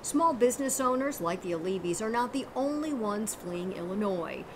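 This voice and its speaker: A woman hosting a news program, narrating a report and then interviewing studio guests in an even, measured way. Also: mid-range voice, background noise. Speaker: news show host.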